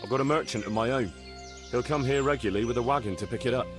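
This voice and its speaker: A man's voice: medium pitch, quick pace, over music, natural voice. An actor playing a plainspoken, decisive young male bailiff in a medieval video game. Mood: matter-of-fact. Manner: plainspoken, matter-of-fact. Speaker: village bailiff character. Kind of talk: video game cutscene acting.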